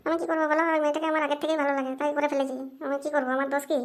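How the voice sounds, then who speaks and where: A woman's voice: sits high, little pitch movement, racing, dry recording, soft background music, lightly acted. A woman voicing a cheeky, scheming son in an amateur comedy cartoon, whiny and slangy. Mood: whining excuses. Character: cheeky scheming son nagging his father for money.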